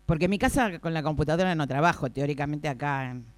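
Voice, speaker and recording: A woman's voice: low-pitched, fast talker, dry recording, natural voice. A woman with an Argentine accent playing a naive, pushy, flustered new office clerk who repeats herself and stammers, in a radio play. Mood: puzzled, evasive. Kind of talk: improvised radio play acting.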